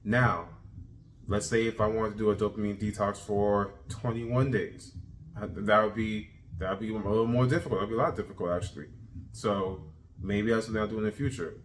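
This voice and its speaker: A thirty-year-old man talking casually in a self-improvement video journal, energetic and repeating himself as he pushes his point. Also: on the low side, fairly quick, a little hiss or hum, low-quality recording. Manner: energetic, repetitive, self-motivating. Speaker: vlogger.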